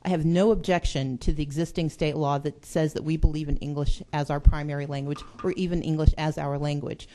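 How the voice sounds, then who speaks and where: A woman's voice: low voice, steady tone, a little fast, hardly any pauses, dark tone, a little hiss or hum. A woman legislator giving an earnest, persuasive speech in a committee hearing. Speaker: state legislator.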